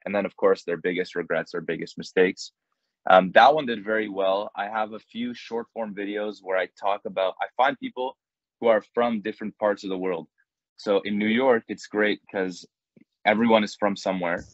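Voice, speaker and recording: A young man from Canada, a guest on a video call, telling stories in a relaxed, chatty way. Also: fairly low pitch, moderate pace, no echo. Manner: relaxed and chatty, acts out quoted exchanges. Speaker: guest, content creator. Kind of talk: casual storytelling in an interview. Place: indoors, small plain room. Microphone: earbuds on a video call. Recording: dull, dry video call audio.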